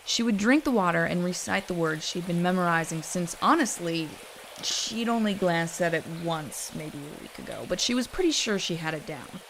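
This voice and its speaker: A woman's voice: lowish voice, animated, normal speed, background noise. A woman narrating a scripted story in a wry, dramatic way.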